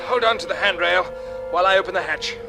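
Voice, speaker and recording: A decisive space captain in an old radio serial. His voice sits a little high, and he speaks in brisk, clipped, commanding phrases, with music clearly behind him. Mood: urgent, steady. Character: steady, decisive male space captain leading his crew. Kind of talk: 1950s radio drama acting.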